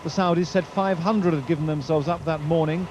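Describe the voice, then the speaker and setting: A man's voice: a little high, fairly even intonation, a little fast, a little mellow. A man reading a scripted war report as a news correspondent. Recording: dull lo-fi field recording, faint vehicle noise.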